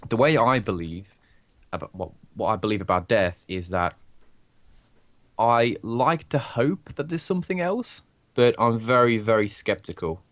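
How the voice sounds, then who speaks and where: A croaky-voiced young man chatting openly and rambling as co-host of a casual podcast. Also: fairly low pitch, animated, a little slow, a bit bright. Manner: rambling, open, laughs often. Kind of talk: casual chat.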